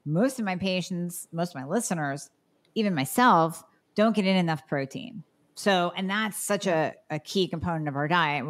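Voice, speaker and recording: A woman hosting a health podcast, affirming her guest and explaining things at length. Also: low voice. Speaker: podcast host. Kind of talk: casual health podcast talk.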